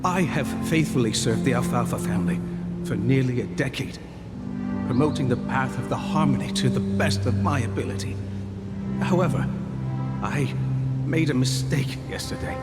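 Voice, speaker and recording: A man's voice: mid-range voice, moderate pace, natural voice. A man voicing a humble, guilt-laden household servant in a game, formal, deferential and hesitant as he confesses. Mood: humble, remorseful. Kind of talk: dramatic game voice acting. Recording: long hall reverb, loud music.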